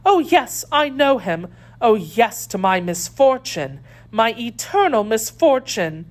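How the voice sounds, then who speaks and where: A woman's voice: on the high side, very animated, a little slow, voice pushed up. A woman playing a captive noblewoman who implores with feigned pious despair in a dramatic audiobook reading. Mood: grief-stricken. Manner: melodramatic, imploring.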